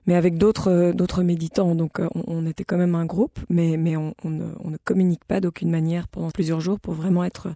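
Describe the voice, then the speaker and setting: A radio guest talking informally, halting and repeating words while explaining something personal. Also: mid-range voice, warm tone, no room sound, studio quality. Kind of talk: casual interview talk.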